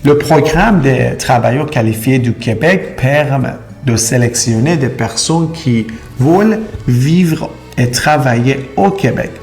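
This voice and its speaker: Gravelly-voiced man. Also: medium pitch, animated, slow, darkish tone, soft background music. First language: Persian and Turkish. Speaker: immigration consultant. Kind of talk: explainer video to camera.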